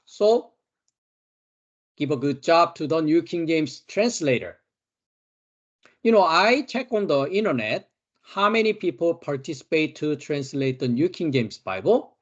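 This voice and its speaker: Slow Korean-accented man teaching Bible. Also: a little high, lively intonation, highs cut off. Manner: slow, emphatic, repeats key words. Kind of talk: Bible study lecture.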